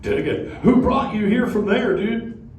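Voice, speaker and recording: A man's voice: high-pitched, a little slow, darkish tone. An actor voicing a laid-back male sea turtle dad who talks in surfer slang, drawling "dude" and "bro" to a live crowd. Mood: easygoing, playful. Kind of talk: live interactive show with a crowd. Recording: roomy echo, distant mic, crowd noise.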